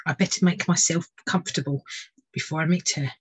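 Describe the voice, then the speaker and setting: Woman voicing a contented, breathy swan-goose. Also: sits low, fairly even intonation, a little fast, a little mellow, low-quality recording. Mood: self-satisfied. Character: self-satisfied waddling male swan-goose bird, cheerfully naive.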